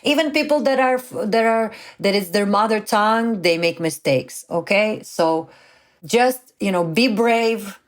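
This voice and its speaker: A woman's voice: mid-range voice, a little slow. A woman talking as a podcast guest, telling stories casually with lots of "like" and "you know". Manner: lively storyteller, frequent "like" and "you know". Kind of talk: casual conversational interview.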